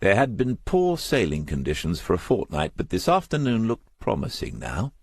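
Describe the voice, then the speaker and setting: A man's voice: on the low side, wildly swooping pitch, moderate pace, gravelly, no echo. A man reading crime fiction as a scripted audiobook narrator, close to the microphone.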